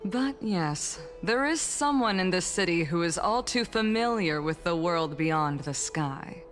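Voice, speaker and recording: Low-voiced, scornful noblewoman. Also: expressive, unhurried, faint music behind. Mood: cold contempt. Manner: disdainful, biting. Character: scornful high-ranking noblewoman, cold and defiant. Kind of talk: video game voice acting.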